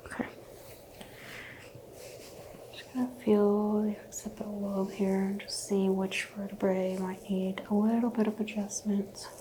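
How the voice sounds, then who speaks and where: A woman in a soft-spoken, often whispered ASMR roleplay as a chiropractor, giving gentle, reassuring instructions. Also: mid-range voice, little pitch movement, unhurried, pauses often, a little hiss or hum, poor audio. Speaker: ASMR host playing a chiropractor.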